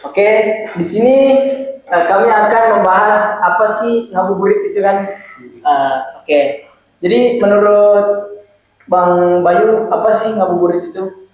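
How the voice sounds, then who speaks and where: High-voiced young man hosting in an echoey room. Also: measured pace, long pauses. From Flores, East Nusa Tenggara. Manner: prompts others with short "oke" and "hmm". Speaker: host. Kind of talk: casual chat, moderating. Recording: echoey room, dull sound.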